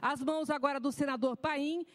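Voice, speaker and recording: A woman's voice: sits high, fairly even intonation, fairly quick. A woman in her sixties from southern Brazil, speaking at a podium microphone as a panelist in a formal public hearing, emphatic and gesturing. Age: 60s. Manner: emphatic, gesturing with both hands. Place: large hearing room.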